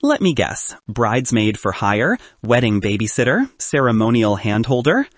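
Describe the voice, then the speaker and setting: A man's voice: medium pitch, sing-song, moderate pace, no echo. A man voicing a cynical, sardonic best man in an audiobook, lecturing and mocking with smug cheer.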